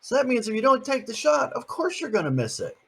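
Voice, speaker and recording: Older man, grandfather type, husky and lively, reading a picture book to the grandkids, playful asides. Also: extremely high pitch, fairly quick. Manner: playful, chatty, asks the listeners questions.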